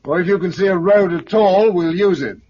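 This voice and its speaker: Actor as practical man, high-pitched voice. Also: brisk, close to an everyday voice. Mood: brisk, practical. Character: practical, decisive male survivor travelling by road. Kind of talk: 1950s British radio drama acting. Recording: muffled, noisy 1950s radio recording.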